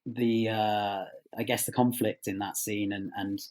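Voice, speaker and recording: A man being interviewed as a writer, speaking reflectively and haltingly as he searches for words. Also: lowish voice, little pitch movement, darkish tone.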